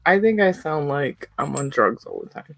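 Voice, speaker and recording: A woman's voice: deep voice, very animated, moderate pace, creaky, dry recording. Young woman podcast host, giggly, rambles a lot, dry close mic. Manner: giggly, rambling with many fillers. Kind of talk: casual chat.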